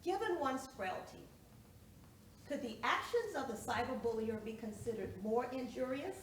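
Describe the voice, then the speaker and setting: Woman as trial lawyer, stage drama: formal, probing, lively intonation; echoing room with some background noise. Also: fairly high pitch, normal speed, lightly acted. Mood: pointed, probing. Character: formal female lawyer questioning a witness. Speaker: actor in a one-act play. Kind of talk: stage courtroom drama acting. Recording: echoey room, distant mic, noisy.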